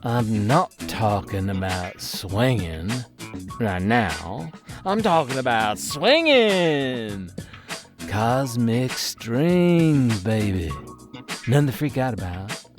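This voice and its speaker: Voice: mid-range voice, big pitch swings, slow pace. A performer playing a cocky, laid-back male cosmic hero in a comic audio drama, with slangy jive talk. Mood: cool, cocky. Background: loud music.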